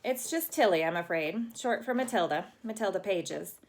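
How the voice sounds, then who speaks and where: A woman's voice: mid-range voice, animated, moderate pace, a little hiss or hum, lightly acted. A woman voicing a thoughtful young girl from a storybook who speaks in an earnest, matter-of-fact way. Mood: polite.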